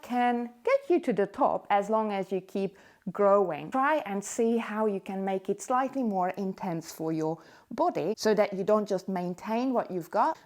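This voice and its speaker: A woman's voice: mid-range voice, a little mellow. A woman in her forties giving an encouraging talk to camera as a hula hoop fitness instructor. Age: 40s. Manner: upbeat, encouraging, coaxing.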